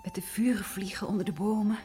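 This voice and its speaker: An actress playing a tender, grieving female reporter in a radio play, speaking haltingly. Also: medium pitch, fairly even intonation, mellow, faint music behind, lightly acted. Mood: wistful. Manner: halting, repeating words. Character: grieving female reporter, tender, hesitant, loves condemned man.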